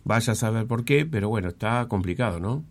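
A man who hosts a radio interview. He speaks haltingly and sounds puzzled as he searches for his words. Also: fairly low pitch, expressive. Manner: hesitant, puzzled, halting phrasing. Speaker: radio host.

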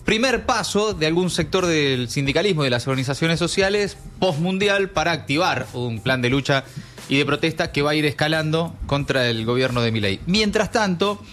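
A man's voice: fairly high pitch, animated, normal speed, fairly bright tone. A man with an Argentine accent hosting a casual news talk radio show, chatting with co-hosts.

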